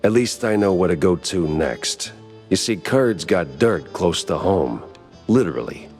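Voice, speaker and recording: Low-voiced wry cartoon detective. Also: male voice, measured pace, soft background music. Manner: wisecracking, deadpan. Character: wry hard-boiled cartoon mouse detective man. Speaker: private investigator protagonist. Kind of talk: 1930s noir cartoon game acting.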